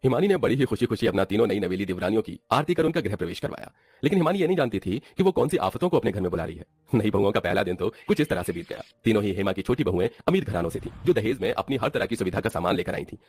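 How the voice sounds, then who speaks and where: Very fast, animated male story narrator. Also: fairly low pitch, breathy edge, no room sound. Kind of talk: scripted cartoon story narration.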